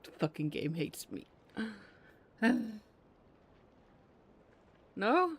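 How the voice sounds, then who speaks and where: A man's voice: fairly high pitch, huge pitch swings, drawn-out words, fairly bright tone, slight background noise. A man streaming a game with casual, teasing, self-deprecating commentary and some swearing. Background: game music.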